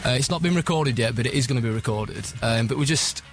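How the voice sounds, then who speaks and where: A man's voice: mid-range voice, quick pace, vocal fry, soft background music. A man chatting informally as a band member interviewed in a radio studio. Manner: informal, jokey. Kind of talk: casual live interview chat. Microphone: studio broadcast microphone.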